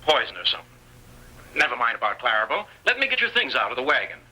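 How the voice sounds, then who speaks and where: A sneering, scheming outlaw in an old radio Western. His American voice is very sharp and forceful, with rustic, threatening talk on a tinny vintage recording. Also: mid-range voice, huge pitch swings, fast talker, exaggerated voice. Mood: falsely friendly. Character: scheming male bandit, menacing, sneering, posing as brother.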